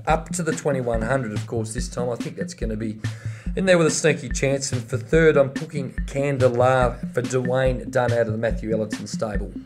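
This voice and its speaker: Racing co-host giving tips. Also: male voice, mid-range voice, normal speed, over music.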